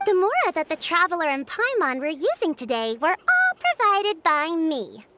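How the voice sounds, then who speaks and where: A composed game chief with a very high, bright, animated voice. She sounds commanding and assured, with clear noise behind her. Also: normal speed, exaggerated voice. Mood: firm. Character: composed, authoritative female village chief. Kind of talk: video game voice acting.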